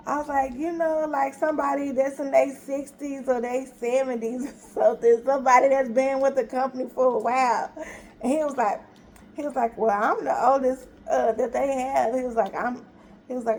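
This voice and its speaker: A woman's voice: sits high, a little hiss or hum. A woman casually telling a story, acting out both sides of a conversation with laughter and exasperation. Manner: animated retelling, acts out both sides with quoted dialogue.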